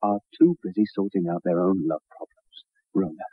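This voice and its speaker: Hot-blooded, rough suitor for vintage radio drama; low, husky voice, clipped and colloquial. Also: male voice, big pitch swings, a little fast, no room sound, full character voice. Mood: pleading, heated. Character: intense, rough-mannered jealous lover pressing a married woman. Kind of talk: 1960s radio drama acting. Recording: muffled, narrow-band 1960s radio recording.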